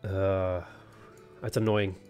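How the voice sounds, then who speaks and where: A man's voice: low-pitched, little pitch movement, takes it slowly, a little mellow, soft background music. A man with a sniffly cold, casually commentating a strategy game while he thinks aloud, weighing choices with plenty of ums. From Ireland. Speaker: let's play host.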